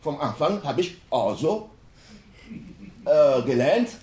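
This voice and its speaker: A man speaks haltingly on a panel, often restarting mid-word in a language that is not his first. His voice is dark and mellow yet swings widely in pitch. The hall around him adds a roomy echo. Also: big pitch swings. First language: not German. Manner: halting, broken German with many restarts. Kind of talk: panel discussion talk. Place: university lecture hall.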